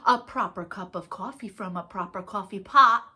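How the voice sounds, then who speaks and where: A woman's voice: mid-range voice, normal speed, bright, forceful tone, husky. A woman hosting a children's storytime, talking directly to young listeners in a playful, encouraging way. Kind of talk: children's storytime read-aloud with warm-ups.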